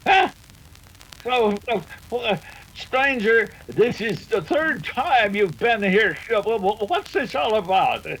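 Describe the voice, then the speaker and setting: An actor playing a feisty old rancher with a rustic western drawl and a stammering manner, in radio drama style. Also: male voice, high-pitched, lively intonation, slow, a bit bright, exaggerated voice, low-quality recording. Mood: puzzled. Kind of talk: 1930s western radio acting.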